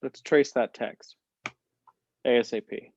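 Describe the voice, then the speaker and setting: A man's voice: mid-range voice, steady tone, slow, darkish tone, slightly rough, dry recording, lo-fi sound. A man playing a plain-spoken spy in an amateur table read, in an everyday voice. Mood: plain, wry.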